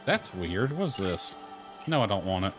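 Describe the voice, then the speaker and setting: A man's voice: sits low, sing-song, a little slow, over music. A man giving casual video game commentary, muttering to himself with mild grumbling and swearing.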